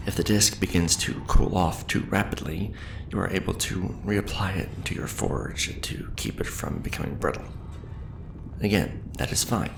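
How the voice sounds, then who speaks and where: A man doing a soft-spoken ASMR roleplay as a veteran craftsman, patiently and encouragingly instructing an apprentice in measured phrases. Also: rumbling low. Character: veteran mask maker patiently training a new apprentice. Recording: roomy echo with clear background noise.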